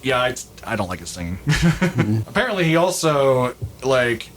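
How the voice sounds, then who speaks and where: A man co-hosts a casual music discussion in a mid-range voice. He speaks a bit haltingly, feeling his way through opinions. There is some noise behind him. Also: mid-range voice, sing-song, fairly bright tone. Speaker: podcast co-host. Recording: clear background noise.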